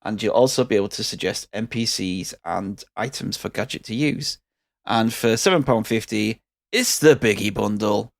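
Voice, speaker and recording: A man's voice: lowish voice, normal speed, gravelly, dry recording. A man co-hosting a podcast and giving a tongue-in-cheek promo read with dry humor. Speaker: podcast co-host.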